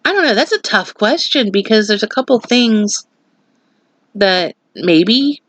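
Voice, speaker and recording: Woman, vlogger, casual chat, stuffy nose, rambling, mid-range pitch. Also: lively intonation, measured pace, fairly bright tone, no echo. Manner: rambling, opinionated asides. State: stuffy nose, slightly nasal.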